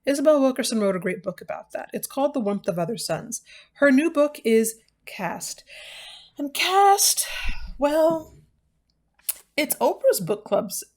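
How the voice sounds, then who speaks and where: A woman's voice: high-pitched, lively intonation, a little slow, darkish tone. An American woman hosting a book channel, talking casually and off the cuff to viewers in a wry, self-deprecating, digressive way. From United States. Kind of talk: casual, unscripted vlog talk to viewers.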